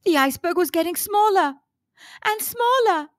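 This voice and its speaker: A woman reads a children's story aloud as a calm, deliberate storyteller speaking to young listeners. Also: extremely high pitch, dry recording, clean studio sound. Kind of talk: read-aloud story narration.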